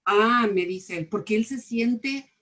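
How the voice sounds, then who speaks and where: A woman's voice: fairly high pitch, slow, distant mic, crowd noise. A middle-aged Argentine woman pastor telling a personal story in a church class, acting out the voices of others as she speaks. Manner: animated, acts out quoted dialogue. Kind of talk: conversational testimony in a church class.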